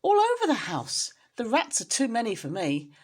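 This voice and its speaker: A woman's voice: fairly high pitch, wildly swooping pitch, normal speed, pitched above the performer's own voice. A woman voicing an anxious, tearful mother cat in a children's storybook, lamenting in a distressed way. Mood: fretful. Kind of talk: children's storybook character reading.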